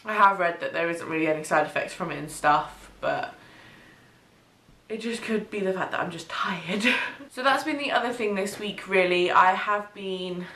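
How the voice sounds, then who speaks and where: A young woman from the UK, chatting casually in a home vlog, sniffly from a cold, with frequent ums and soft laughs. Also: medium pitch. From United Kingdom. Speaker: vlogger. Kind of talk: casual pregnancy update vlog. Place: small bedroom or home studio, indoors. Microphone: camera mic, head-and-shoulders framing. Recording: echoey room.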